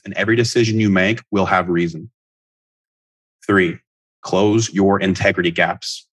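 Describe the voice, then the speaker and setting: Low-voiced, husky man coaching earnestly. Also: no echo. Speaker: podcast host and life coach. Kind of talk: motivational self-help monologue, scripted.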